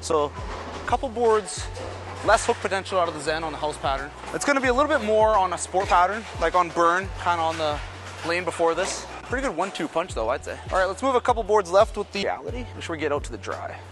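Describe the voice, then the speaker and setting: High-voiced man giving relaxed shot-by-shot commentary. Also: quick pace, over music. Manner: relaxed, talks through each shot and adjustment. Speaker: bowling ball reviewer. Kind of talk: casual running commentary while bowling. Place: large indoor bowling alley.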